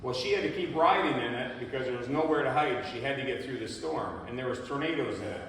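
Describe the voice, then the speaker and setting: A man's voice: mid-range voice, lively intonation, quick pace, a bit bright, slight background noise. A man casually introducing fellow bikers at a school presentation, telling folksy stories. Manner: folksy, storytelling. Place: school hall. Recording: echoey hall from far off.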